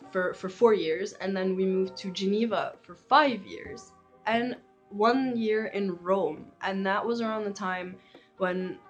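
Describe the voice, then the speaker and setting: An Egyptian woman in her twenties, talking casually to camera about her life as a channel host, with many likes and ums. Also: mid-range voice. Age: 20s.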